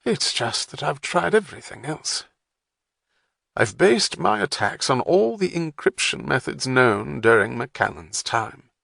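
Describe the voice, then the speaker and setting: A man voicing a polite, wry male historian with a plummy English accent and a measured, professorial manner. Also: mid-range voice, big pitch swings, gravelly, fairly bright tone, no room sound, clean studio sound, voice pushed up, heavily acted. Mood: genteel. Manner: measured, genteel, slightly professorial.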